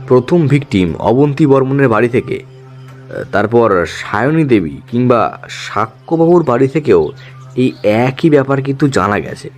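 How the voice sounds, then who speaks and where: A man's voice: medium pitch, natural voice. Man acting a sober police officer. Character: male police officer soberly reporting case findings. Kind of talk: audio story acting. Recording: music bed under voice.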